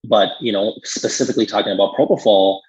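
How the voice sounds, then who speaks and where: Man, lowish husky voice, measured conversational expert explainer, frequent filler asides, slightly muffled lo-fi recording. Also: darkish tone. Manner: explanatory, measured, peppered with "you know".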